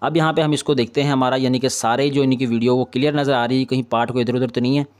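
Male tutorial host, medium pitch, informal and instructional, checking in often as he explains each step. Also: steady tone, racing, a little mellow. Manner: repetitive confirming tags, instructional. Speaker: video editing tutorial host.

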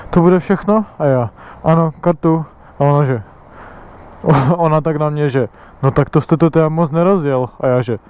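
Young man, from the Czech Republic, motovlog chatter, frequent laughter, casual swearing, slightly high voice, muffled lo-fi helmet mic. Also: smooth. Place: outdoors riding an electric motorbike. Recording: dull lo-fi sound.

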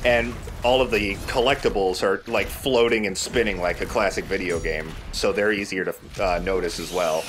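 A man's voice: low voice, steady tone, normal speed, few pauses, noisy background. A man giving casual commentary as he plays and hosts a video game let's play. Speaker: gaming let's play host, player.

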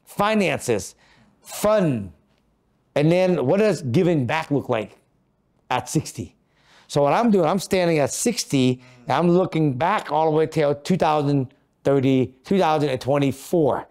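A man's voice: on the high side, expressive, a little slow, a little mellow. A man in his fifties talking about goal setting on a podcast in an office meeting room, in an earnest coaching manner full of rhetorical questions. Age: 50s. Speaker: podcast co-host. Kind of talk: motivational podcast talk.

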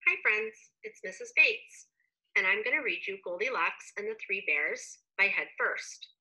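A middle-aged woman reads a children's story aloud. Her voice is very bright and lively, swinging up and down with clear emphasis for young listeners. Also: medium pitch, moderate pace, low-quality recording. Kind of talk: expressive children's read-aloud. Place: living room at home.

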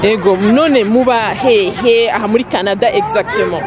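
A woman's voice: medium pitch, moderate pace. Woman interviewer at a noisy event. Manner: frequent short backchannels. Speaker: media reporter and interviewer. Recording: loud music and crowd noise behind.

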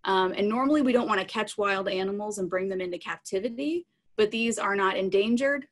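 A woman's voice: mid-range voice, fairly quick. A woman in her thirties giving an informal live science lesson, explaining in plain, simple words from a small room over a video call. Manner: explanatory, plain-spoken, addressing children simply. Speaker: science educator presenting live animals. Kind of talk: informal live educational talk with audience questions. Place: small room at home, indoors. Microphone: computer mic on a video call.

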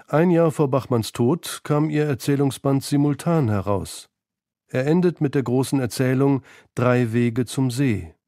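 A man's voice: mid-range voice, mellow, no echo, studio quality. A man reading scripted narration as the narrator of a literary feature.

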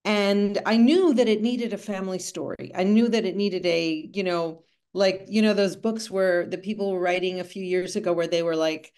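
A woman's voice: mid-range voice, steady tone, moderate pace, few pauses, darkish tone, smooth. Cuban-born woman author on a video call. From Cuba. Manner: thoughtful, storytelling, frequent asides. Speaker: author and art conservator. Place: home room with bookshelves.

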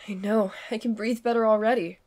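A woman's voice: on the high side, fairly even intonation, a little fast, darkish tone, natural voice. A woman playing an easygoing, modest, dry-humored teenage younger sister in an audio drama. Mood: pleased, surprised. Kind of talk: fan audio drama acting.

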